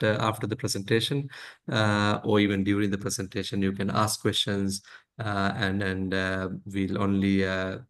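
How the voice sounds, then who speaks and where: A man's voice: low voice, vocal fry. A man hosting a webinar, introducing the guest in a hesitant way with many fillers. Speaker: host introducing a guest speaker.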